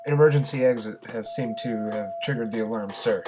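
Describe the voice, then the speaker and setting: A man's voice: on the low side, a little fast, noisy background, close to an everyday voice. A man voicing a nervous, deferential army private reporting to his superior in an audio story. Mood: dutiful, urgent. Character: dutiful, nervous young male army private.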